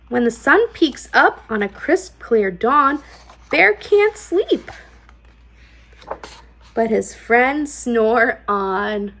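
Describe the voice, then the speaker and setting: A woman hosting a children's storytime, reading aloud in an expressive, sing-song way with deliberate rhythmic phrasing. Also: high voice, vocal fry, breathy edge. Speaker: children's storytime host and read-aloud reader. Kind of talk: expressive picture-book read-aloud.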